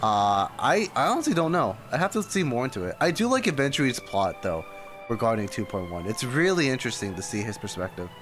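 A man's voice: mid-range voice, big pitch swings, brisk. A young man doing casual, reactive live commentary as a game streamer, often repeating himself as he muses. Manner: reactive, repetitive exclamations and musing. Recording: faint game music behind.

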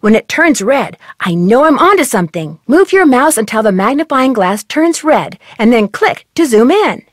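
A woman's voice: on the high side, no echo, studio quality. A young woman voicing a curious, upbeat female detective who guides the player in a friendly, instructive way. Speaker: game narrator and guide. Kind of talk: video game voice acting.

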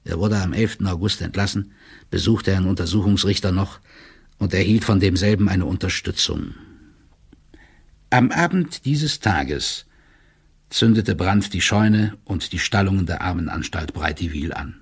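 A man playing a deferential, pedantic court clerk who reads out his letter in a formal, measured manner. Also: deep voice, vocal fry, slightly rough, exaggerated voice. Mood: officious, factual. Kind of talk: formal dramatic letter reading in an audio drama.

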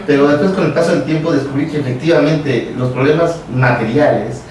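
Mexican guy chatting as radio host, halting and repeats himself, really echoey, bit of background noise. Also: mid-range voice, a little mellow, distant mic. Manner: halting, explanatory, repeats phrases. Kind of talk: casual radio conversation. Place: small radio studio, indoors.

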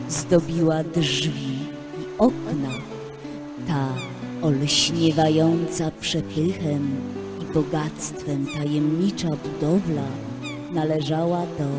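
A woman playing a magical seashell that tells a fairy tale in a measured, mysterious way. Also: lowish voice. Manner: measured, mysterious, with long pauses. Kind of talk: dramatic fairy-tale storytelling. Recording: loud music under the voice.

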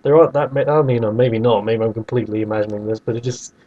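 A man hosting a podcast, chatting casually and with opinions about video games, in an animated way. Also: medium pitch, rapid-fire, narrow, tinny audio. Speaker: podcast host.

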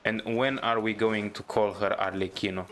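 A man's voice: fairly low pitch, level tone, normal speed, a bit bright, slight background noise. A man giving casual, hesitant gameplay commentary, searching for his words. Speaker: gamer reacting to a story quest. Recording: game music behind. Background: game music and dialogue.

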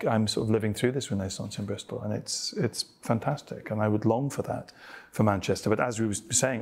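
A man speaking on a panel in an event room before an audience, measured and thoughtful, with small restarts. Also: lowish voice, darkish tone. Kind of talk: panel discussion.

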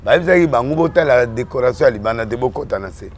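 A man's voice: medium pitch, animated, fast. Man from Congo, emphatic, code-switching French and Lingala, repeats himself, faint noise. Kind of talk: animated political chat.

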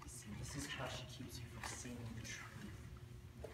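A young man playing a bitter, drunken, brooding self-styled monster in a theatrical monologue, speaking deliberately with dramatic pauses. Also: mid-range voice, fairly even intonation, close to an everyday voice. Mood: brooding, wry. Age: teen or young adult. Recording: distant, noisy, very echoey school stage.

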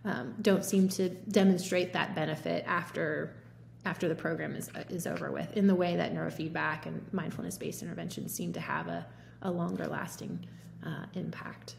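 A woman in her thirties, a physician, explaining treatment options in a relaxed way with many fillers, recorded over a video call from a home office. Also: fairly low pitch, normal speed, creaky. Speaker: physician guest. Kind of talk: conversational medical discussion. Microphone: laptop or webcam mic on video call. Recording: roomy video call audio with faint noise.

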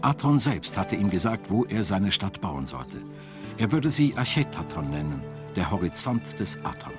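A man with a fairly low voice narrates a documentary from a script. His delivery is measured and tells the material as a story. Music is clearly audible behind him. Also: sing-song.

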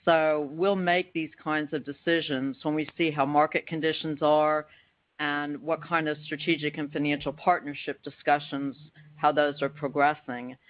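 A woman finance executive giving a careful, slightly halting formal explanation on an earnings call. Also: deep voice, level tone. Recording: dull band-limited conference call.

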